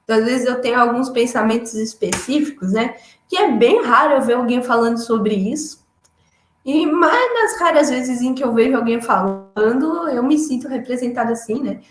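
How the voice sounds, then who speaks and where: A woman's voice: on the high side, lively intonation, moderate pace. A young woman, reflecting conversationally as an interview guest, often tagging phrases with filler words. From Florianópolis, southern Brazil. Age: young adult. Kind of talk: casual reflective interview answers. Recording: roomy, echoey room sound.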